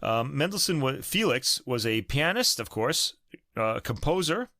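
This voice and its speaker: A man's voice: medium pitch, big pitch swings, normal speed, pushed and bright, no echo, studio quality. A man hosting a podcast, chatting casually about classical recordings, rambling with many fillers and restarts. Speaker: podcast host.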